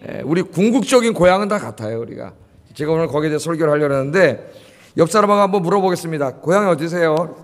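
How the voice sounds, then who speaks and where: Chatty pastor guy in his 50s, fairly high voice, keeps quizzing the congregation, church echo. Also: animated, quick pace. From Seoul, South Korea. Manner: conversational, asks the congregation questions. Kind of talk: sermon. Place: church sanctuary. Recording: long hall reverb.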